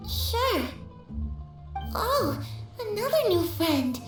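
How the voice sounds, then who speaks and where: A high, childlike ghost girl from a video game. She sounds innocent and friendly. Her voice echoes slightly away from the listener over music. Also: big pitch swings, drawn-out words, far from the mic, exaggerated voice. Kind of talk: video game character acting.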